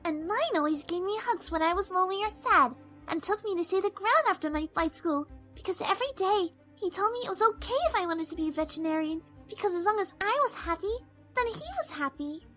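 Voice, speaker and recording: A timid little filly pony, very high and bright. She sounds childlike, earnest and tender-hearted, with lively pitch, as in a cartoon audio drama. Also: a little fast, soft background music. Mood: earnest, hurt.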